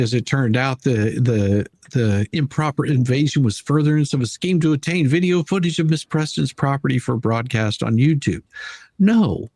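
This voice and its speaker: A man hosting a live stream, giving emphatic, argumentative commentary with a husky voice and reading passages from a document aloud. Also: mid-range voice, a little mellow, no room sound, clean studio sound.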